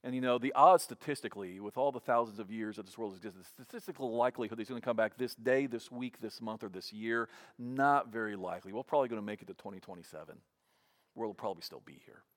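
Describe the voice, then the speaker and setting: A man's voice: on the low side, fast, fairly bright tone. A man in his fifties delivers a sermon in a conversational preaching style. He often restarts his phrases by repeating words before he carries on. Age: 50s. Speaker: pastor.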